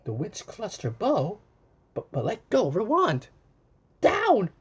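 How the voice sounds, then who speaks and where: A man reading a children's picture book aloud in an animated way, pausing to point things out and exclaim. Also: high-pitched, breathy edge. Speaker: storyteller.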